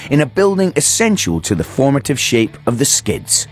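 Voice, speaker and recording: A man presenting a radio music documentary with a polished, lively delivery. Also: mid-range voice, normal speed. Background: faint music bed.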